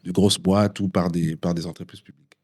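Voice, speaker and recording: A man's voice: low-pitched, fairly even intonation, brisk, darkish tone. A male interview host who offers brief prompts and asks long questions, repeating words as he goes.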